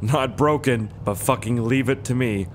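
A young man with a hoarse, strained voice giving casual, profane, self-mocking commentary while playing a game. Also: mid-range voice, normal speed, faint music behind. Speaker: gaming YouTuber. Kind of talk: casual let's-play commentary.

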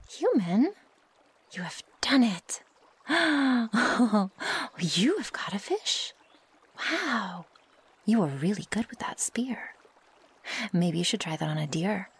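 A woman playing a naive, impatient young werewolf in an ASMR roleplay, speaking softly and breathily in stilted, formal phrasing. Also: fairly low pitch, animated, moderate pace, full character voice. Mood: amazed. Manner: stilted formal phrasing without contractions, often breathy or near-whispered. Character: feral young female werewolf, naive, curious, impatient, possessive. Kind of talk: ASMR roleplay, intimate one-sided dialogue.

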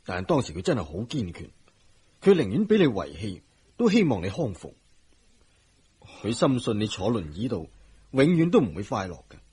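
An actor playing a kindly, regretful middle-aged doctor in a Hong Kong Cantonese radio drama, husky and measured, avuncular and a little hesitant. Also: male voice, fairly low pitch, huge pitch swings, a little mellow, exaggerated voice. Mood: earnest, regretful.

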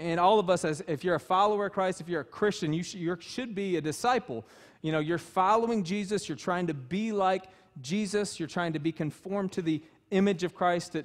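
A man in his thirties preaching a sermon as pastor, emphatic and passionate, in a small church. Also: high voice. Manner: passionate, emphatic, gesturing freely while preaching. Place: small church stage with wood-panel walls.

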